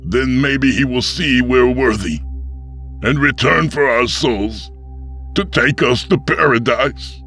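A man's voice: medium pitch, takes it slowly, a bit bright, soft background music, voice pushed up. A man voicing a fanatical frontier pastor in an audiobook, righteous and spiteful in his preaching. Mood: righteous, scornful.

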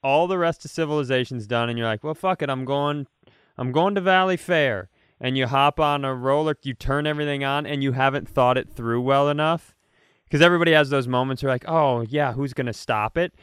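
A man with a clear, smooth voice and a mid-range pitch hosts a casual comedy podcast. He riffs like an animated storyteller, slipping into imagined quotes and swearing freely. The sound is close and dry, with hardly any room in it. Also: a little fast, no echo. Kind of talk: casual comedic chat, improvised hypothetical riffs.

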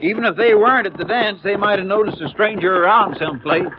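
A high-voiced, plain-spoken Texas sheriff, played by a male radio actor, drawling in a folksy American accent. It is a 1950s western radio drama, with clear noise over a dull old recording. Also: quick pace, lightly acted. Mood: businesslike.

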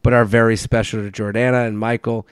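A man's voice: fairly low pitch, little pitch movement, fast, clean tone, no room sound, clean studio sound. A man co-hosting a podcast, reading from a script with casual asides. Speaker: podcast co-host.

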